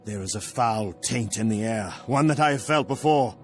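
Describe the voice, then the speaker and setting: A man's voice: lowish voice, normal speed, close to an everyday voice. Stern, commanding army captain played by a man. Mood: ominous foreboding. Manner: grave, commanding. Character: stern, devout human Empire captain. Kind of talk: fantasy video game cutscene acting. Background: faint game music.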